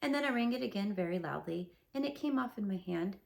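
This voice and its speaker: A woman's voice: medium pitch, expressive, fast, creaky, darkish tone. A woman doing a pompous, wordy owl. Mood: chatty. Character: pompous wordy male owl, self-important.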